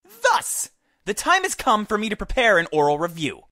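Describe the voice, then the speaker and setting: A woman's voice: mid-range voice, wildly swooping pitch, moderate pace, pushed and bright, no echo, studio quality. A woman voicing a pompous, demanding male leader of an exterminator squad, with formal, theatrical speech in a visual novel. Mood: exasperated.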